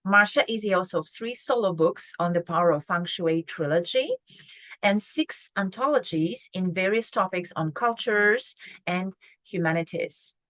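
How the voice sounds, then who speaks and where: A woman's voice: fairly low pitch, moderate pace, a bit bright, dry recording. A woman hosting an interview podcast who greets and introduces her guest effusively. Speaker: podcast host.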